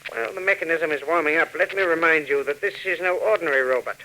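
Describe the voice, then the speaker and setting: An actor playing a shrewd, mercenary villain in a 1940s radio drama, speaking in a precise, theatrical, imperious way. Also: male voice, a little high, quick pace, pushed and bright. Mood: proud, showy. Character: shrewd, mercenary male villain selling a stolen robot. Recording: noisy old 1940s radio recording.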